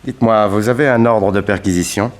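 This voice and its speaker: A man's voice: lowish voice, fairly even intonation, a little fast, close to an everyday voice. An actor playing a nervous, evasive man of thirty-five under suspicion, defensive and indignant, in a 1960s French radio drama.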